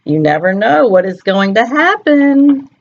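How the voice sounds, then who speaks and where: A woman's voice: a little high, big pitch swings, a little slow, dull audio. A woman storyteller reads a picture book aloud to young children, expressively, often putting questions to her listeners.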